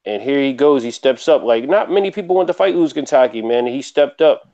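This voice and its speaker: A man gives his take as a casual boxing panelist. His pitch is average and his talk is colloquial, punctuated with "man". The recording is very dry and a little dull. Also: expressive, a little fast, warm tone, dry recording. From Philadelphia. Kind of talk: casual sports commentary. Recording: dry, slightly dull lo-fi audio.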